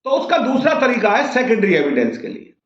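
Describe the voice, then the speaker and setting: A man's voice: high-pitched, wildly swooping pitch, fairly quick. A man giving an informal legal explainer to viewers in a didactic way, posing questions and answering them himself. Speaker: advocate explaining law to viewers. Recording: dull, roomy, echoing sound.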